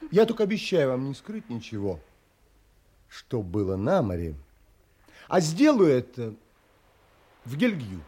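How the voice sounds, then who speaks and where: An actor playing a reserved, romantic sea traveller in an audio drama, thoughtful and theatrical. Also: male voice, medium pitch, wildly swooping pitch, unhurried, long pauses, mellow, breathy edge, heavily acted. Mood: guarded, hesitant. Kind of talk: audio drama acting and narration.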